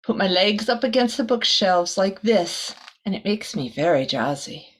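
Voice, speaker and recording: A woman voicing a kindly male royal librarian in a storybook, giving gentle, helpful advice. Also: mid-range voice, animated, moderate pace, lightly acted, poor audio. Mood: helpful, concerned. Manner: gentle, advising.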